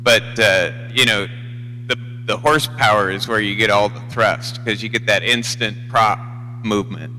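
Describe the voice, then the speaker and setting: A man's voice: on the low side, moderate pace, pushed and bright. An American man chatting casually on a CB radio in a rambling, unpolished way. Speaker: CB radio operator. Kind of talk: casual chat. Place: live CB radio feed. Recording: noisy hum, long hollow reverb.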